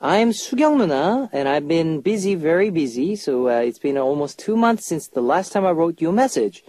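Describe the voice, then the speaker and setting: Higher-pitched male radio host, dry sound. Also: very animated, a little fast, darkish tone, dry recording. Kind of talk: casual chatty music radio hosting with listener messages.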